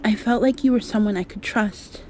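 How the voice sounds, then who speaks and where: A woman's voice: medium pitch, moderate pace, slight background noise, close to an everyday voice. A woman playing a shy, insecure half-mosquito vampire girl in an ASMR roleplay, hesitant and confiding. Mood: anxious, confiding. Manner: hesitant, soft-spoken, confiding.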